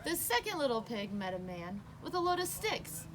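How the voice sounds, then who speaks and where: A woman reading a children's picture book aloud as a storyteller, with expressive, emphatic narration. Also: on the high side, normal speed, noisy background.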